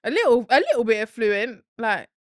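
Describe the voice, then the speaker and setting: A woman's voice: a little high, big pitch swings, brisk, dry recording, clean studio sound. Chatty British woman podcast guest. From born in Spain, raised in Britain. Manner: chatty, laughs a lot. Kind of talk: casual chat.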